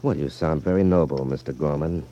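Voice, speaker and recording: An American actor playing a cocky, protective brother who talks smooth, sing-song patter in 1950s radio drama style. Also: male voice, extremely low pitch, fairly quick, warm tone, dull audio. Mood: sardonic, challenging. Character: cocky male dice shooter, protective brother, coolly taunting.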